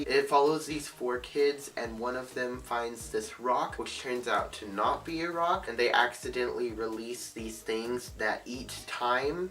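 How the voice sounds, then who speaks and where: A young man with a congested, stuffy voice talks through his reading plans in a loose, rambling vlog style. He sits a bit back from the microphone, so his voice sounds slightly distant. His pitch sits in an ordinary middle range. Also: a bit bright. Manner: chatty, rambling, filler-heavy. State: congested from a cold. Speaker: book vlogger. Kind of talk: casual book talk to camera.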